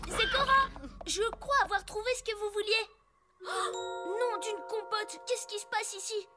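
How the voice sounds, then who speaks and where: A trusting little girl pony from a cartoon, with a tiny, extremely high voice. She talks in a plainspoken, childlike way over music. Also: measured pace. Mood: puzzled. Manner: childlike, matter-of-fact, expressive. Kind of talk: French cartoon dubbing. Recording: music behind the voice.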